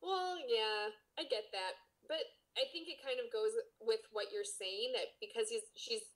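A woman podcast co-host reading a story aloud casually, with playful, expressive banter. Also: on the high side, unhurried, low-quality recording.

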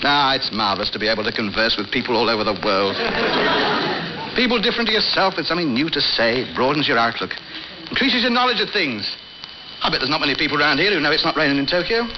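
A man's voice: mid-range voice, expressive, quick pace, close to an everyday voice. Muffled, noisy British radio ham, played by a man. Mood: self-satisfied, pompous. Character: exasperated, self-important amateur radio enthusiast, a man. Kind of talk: vintage British radio comedy monologue.

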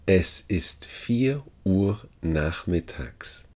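A man working as a language teacher, reading short phrases deliberately and repeating each one for learners. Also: low voice, lively intonation, darkish tone.

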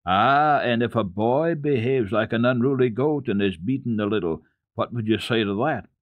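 A man voicing a stern but kindly old mountain grandfather who admonishes in a measured way, in a storybook reading. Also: mid-range voice, no echo, dull audio, close to an everyday voice. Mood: stern warning.

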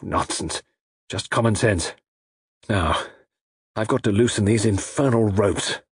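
A man voicing a gallant, protective army major in an audiobook, with a brisk, plucky, plain-spoken manner. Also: fairly low pitch, slightly rough, dry recording, studio quality, full character voice. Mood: reassuring.

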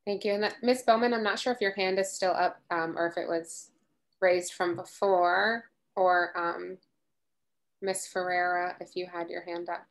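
A woman chairing a video call meeting, calling on members politely and briskly. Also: on the low side, fairly even intonation.

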